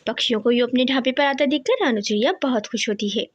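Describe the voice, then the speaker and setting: A woman narrating a scripted children's cartoon story in an expressive, dramatic storytelling manner. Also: a little high, fast, husky, dull audio.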